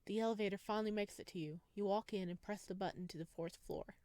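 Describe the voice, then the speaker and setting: A woman's voice: mid-range voice, fast talker, darkish tone, no room sound. Woman casually reading game text, very dry sound. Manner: playful, giggly asides. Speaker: gaming video creator reading and playing a visual novel.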